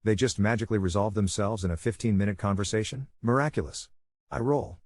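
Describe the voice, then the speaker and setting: Fast-reading low-voiced male narrator. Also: darkish tone, dry recording. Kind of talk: scripted narration of an online story.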